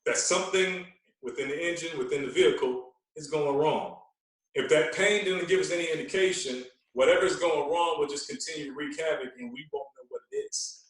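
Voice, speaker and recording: A man's voice: fairly high pitch, fairly quick, recorded from a distance. Long-winded male fitness coach, very echoey room. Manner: long-winded, explanatory, emphatic with rhetorical check-ins. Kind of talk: podcast conversation.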